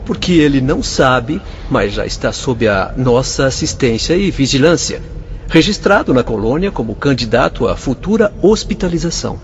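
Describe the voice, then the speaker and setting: A man playing a calm spirit doctor. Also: mid-range voice, dull audio, a little hiss or hum, natural voice. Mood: explaining. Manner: measured, explanatory. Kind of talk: radio drama acting.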